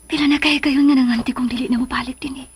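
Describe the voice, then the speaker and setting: A woman acting in a radio drama as a tender, tearful woman torn by love, with a breathy, emotional delivery. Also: sits high, fairly even intonation, fast talker, full character voice. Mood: wistful, soft. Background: music bed.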